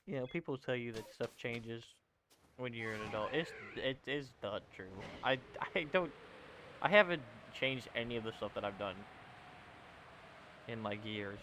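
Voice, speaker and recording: A man's voice: medium pitch, normal speed, sharp tone, a little hiss or hum. Young man chatting on a game stream. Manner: rambling, self-correcting, answering chat. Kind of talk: casual gaming livestream chat.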